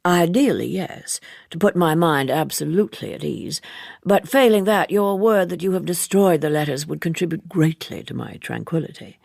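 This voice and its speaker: Audiobook gentleman seducer played by a woman: urbane, amused, ironic, heavily creaky and husky. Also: lowish voice, lively intonation, moderate pace, no room sound, studio quality. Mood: persuasive, teasing. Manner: urbane, wordy, teasingly ironic. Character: suave, worldly gentleman, leisurely and amused seducer. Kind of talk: audiobook character dialogue.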